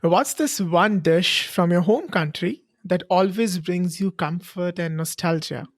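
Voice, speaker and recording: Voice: medium pitch, a little slow, no room sound, clean studio sound. A podcast host asking questions and reflecting aloud, leaning on fillers and effusive reactions. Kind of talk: casual interview.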